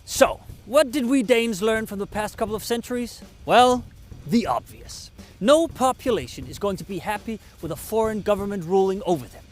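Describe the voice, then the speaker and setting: Sarcastic Danish-accented man, lively delivery. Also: sits high, normal speed, faint music behind. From Denmark. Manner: dry, sarcastic, mock-polite. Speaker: satirical presenter. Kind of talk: scripted comedic address to camera.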